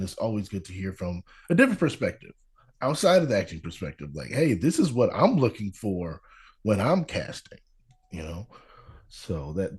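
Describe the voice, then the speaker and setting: Male podcast host; low, slightly husky, casual and rambling. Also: a little mellow. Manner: rambling, lots of filler and restarts. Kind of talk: casual interview chat.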